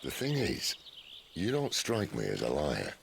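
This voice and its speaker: Deep, gravelly, wry game rebel leader; dry teasing, lively pitch. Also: male voice, a little slow, a little hiss or hum, exaggerated voice. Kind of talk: video game voice acting.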